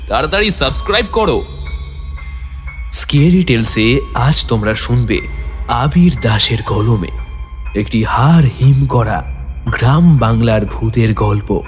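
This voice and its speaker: A man's voice: medium pitch, a bit bright. A man presenting an audio story series with a scripted introduction. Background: background music.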